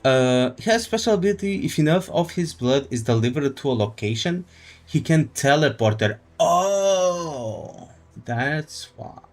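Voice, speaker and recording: A man's voice: fairly high pitch, sing-song, unhurried. A man chatting casually on a game livestream, giving opinions and repeating phrases as he explains his points. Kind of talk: casual livestream chat and commentary. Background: faint music.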